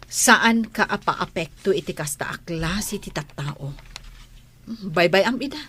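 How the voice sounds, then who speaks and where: A caring mother, played by a woman in a radio drama. She advises in a gentle, husky voice with very varied intonation. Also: fairly low pitch, lively intonation, unhurried, heavily acted. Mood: gentle advising. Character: caring mother advising her schoolgirl daughter.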